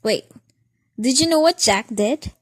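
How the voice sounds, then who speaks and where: A young woman plays a chatty Filipino best friend in a radio drama. The voice is high and girlish, quick to tease and easily exasperated. It is recorded very dry, with little room around it. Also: animated, dry recording. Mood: excited, smitten. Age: young adult. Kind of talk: student radio drama dialogue, mixing Tagalog and English.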